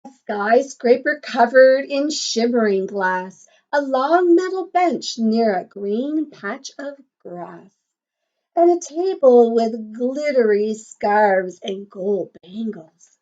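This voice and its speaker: Woman, high voice, unhurried pace, encouraging teacher talk, children's story read-aloud, little questions to the listeners, slightly lo-fi sound. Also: expressive, darkish tone. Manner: encouraging, sing-song teacher talk addressed to small children. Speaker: teacher reading a picture book to young children.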